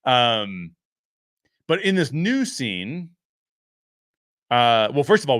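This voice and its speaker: A man's voice: mid-range voice, fairly bright tone, no echo, studio quality. A man giving casual solo commentary as a show host, often thinking aloud to his viewers. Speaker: host of a pop culture review show.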